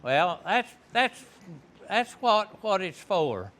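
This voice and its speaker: Old man, seventies, slow folksy storytelling, bright clear voice, speaking in a classroom. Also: high voice, very animated, slight background noise. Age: 70s. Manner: plainspoken, folksy, unhurried storytelling. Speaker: veteran guest speaker. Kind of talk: classroom talk to students. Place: high school classroom.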